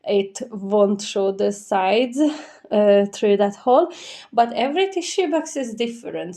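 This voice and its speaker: A woman's voice: mid-range voice, dark tone. A woman, congested with a cold and slightly nasal, gives an informal, chatty step-by-step craft tutorial voice-over. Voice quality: stuffy nose, slightly nasal.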